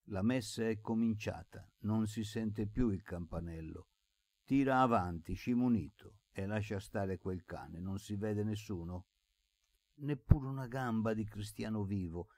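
Man voicing a stern, gruff old blind man. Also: fairly low pitch, a little slow, darkish tone, no room sound. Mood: gruff, impatient. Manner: gruff, scolding.

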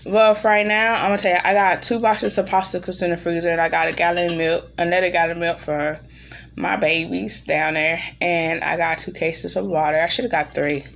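A woman's voice: fairly low pitch, steady tone, a little fast, vocal fry, a bit bright, a little hiss or hum. A woman in her thirties chatting casually and bluntly to camera in a rambling vlog, sounding irritated, recorded at home at arm's length. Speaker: vlogger. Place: room in an apartment.

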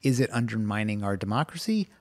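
A man's voice: on the low side, lively intonation, quick pace, darkish tone, no room sound, clean studio sound. A man co-hosting a podcast in casual chat, rambling with many "like"s and restarts. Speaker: podcast co-host.

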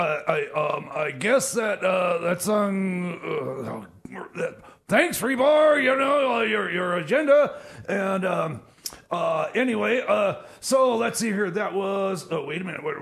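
A man's voice: sits high, vocal fry, a bit bright, heavily acted. A man playing a flustered, defensive rookie host in radio comedy, stammering and repeating himself as he hesitantly rambles. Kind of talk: comedy radio theater, live music-show hosting.

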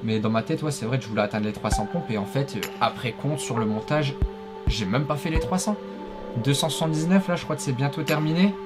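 Young man, energetic fitness YouTuber. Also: medium pitch, fast talker. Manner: energetic, rambling, many fillers. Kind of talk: casual commentary over workout footage. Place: small home studio with acoustic foam. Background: guitar music.

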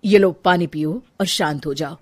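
A woman's voice: lowish voice, moderate pace, slightly rough. A woman voicing a young husband who pleads with and comforts his wife. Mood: reassuring. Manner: pleading, reassuring. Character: young concerned husband, gentle.

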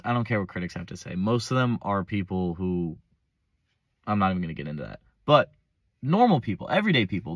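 A young man with a hoarse voice from a cold, rambling casually and unscripted, recorded on a phone camera in his parked car. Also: sits low, dry recording. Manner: rambling, self-interrupting, casual slang. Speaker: vlogger. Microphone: phone front-facing selfie camera.

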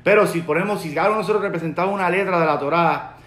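A man's voice: sits high, racing, fairly bright tone. A man in his forties from Puerto Rico with a Puerto Rican Spanish accent, giving an earnest Torah lesson to a webcam in his study, repeating his key points. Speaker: rabbi. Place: home study with bookshelves, indoors. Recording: roomy echo.

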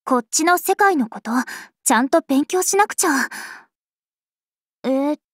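An actress playing a cheerful, playful young vampire princess in an anime game, speaking in a bubbly, teasing, animated way. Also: female voice, high voice, unhurried, no echo, clean studio sound. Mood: cheerful, chatty. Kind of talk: anime game character voice lines.

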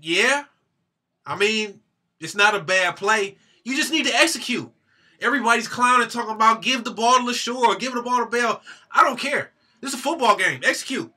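A man's voice: extremely high pitch, animated, normal speed, sharp tone. A young man from Detroit hosting a sports vlog, reviewing a game to his webcam in a heated, slangy, incredulous way. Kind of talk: animated sports rant to webcam. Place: small room with a team banner behind him.

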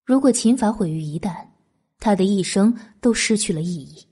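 A woman voicing a shrewd, resolute female strategist in an audiobook, measured, wry and commanding. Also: medium pitch, animated, mellow, breathy edge. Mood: grave, resolute.

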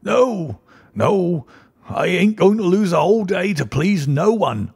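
A man reading an audiobook, voicing a petulant, obstinate very old man with a high, quavering, drawling voice. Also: animated, measured pace, vocal fry, darkish tone, breathy edge, full character voice. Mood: stubborn.

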